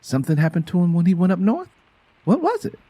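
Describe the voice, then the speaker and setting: A man's voice: high-pitched, wildly swooping pitch, fast, dark tone, no room sound, heavily acted. Man voicing an earnest, curious Southern girl. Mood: anxious, curious.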